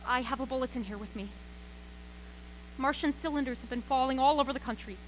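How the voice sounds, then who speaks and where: A woman playing a frightened radio reporter describing an alien invasion in a radio play, halting and repeating words. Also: high-pitched, slight background noise, natural voice, poor audio. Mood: grim dread. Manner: breathless, halting, repeats words under rising panic. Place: school auxiliary gym stage.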